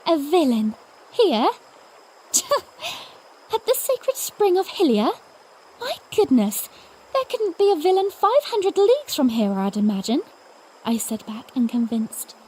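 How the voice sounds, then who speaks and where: A woman playing a spirited, tender young princess who recounts her adventure in expressive storybook phrasing for an audiodrama. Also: high voice, takes it slowly, heavily acted, poor audio. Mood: excited, indignant. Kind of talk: first-person audiodrama narration with acted dialogue.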